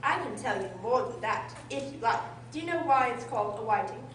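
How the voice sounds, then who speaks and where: In an echoey room, a know-it-all old gryphon acted by a woman gives brisk orders and explanations in a fairly high voice. The radio-drama performance is picked up from a distance, with faint noise. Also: expressive, fairly bright tone. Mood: smug. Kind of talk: amateur radio drama acting.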